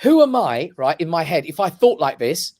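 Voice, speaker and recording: A man's voice: fairly high pitch, big pitch swings, normal speed, a little mellow. A man, an emphatic and passionate radio guest. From England. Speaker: guest, comedy club owner. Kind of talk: casual radio interview. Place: radio studio.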